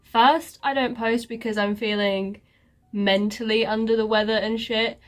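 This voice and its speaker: Woman vlogger, slow and congested, sarcastic and rambling in a casual update. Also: fairly high pitch, fairly even intonation. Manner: sarcastic, self-mocking, rambling with many fillers. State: congested, stuffy nose. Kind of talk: casual life-update chat.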